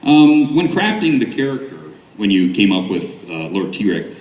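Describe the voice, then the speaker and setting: A man's voice: lowish voice, big pitch swings, moderate pace, dull audio. A man moderating a fan convention panel, asking questions in a casual, informal way with some hesitation. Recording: echoey convention room, distant mic, faint noise.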